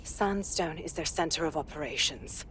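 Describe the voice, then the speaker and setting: A police inspector with a low, grave voice. She is measured and resolute, briefing an operative in dramatic game style. There is clear background noise behind her. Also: fairly bright tone.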